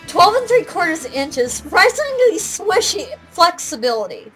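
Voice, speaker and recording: A woman chatting casually in an animated, giggly, rambling way as co-host of a fan video. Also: very high voice, unhurried, faint music behind. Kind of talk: casual chat.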